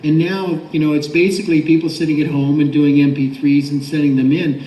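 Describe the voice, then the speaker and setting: A man's voice: a little high, little pitch movement, a little fast, dark tone. A middle-aged man talking casually and anecdotally on a convention panel, rambling as he explains his work as a voice director and actor. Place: large indoor convention panel room. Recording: roomy convention hall, distant mic.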